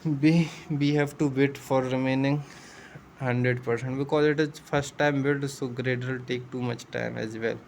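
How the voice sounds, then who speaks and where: A man talks through a programming tutorial in an even, level tone. He goes at an unhurried pace, explaining each step patiently and thinking out loud. English is not his first language. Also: mid-range voice, vocal fry, slight background noise, rough recording. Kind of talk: screen-share coding tutorial.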